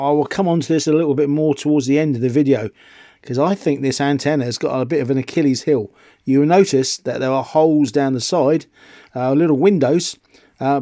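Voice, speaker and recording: A man's voice: fairly high pitch, a little mellow, no room sound. A middle-aged British man narrating an informal product review in a chatty, explanatory way, posing rhetorical questions as he goes. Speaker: video presenter and radio hobbyist.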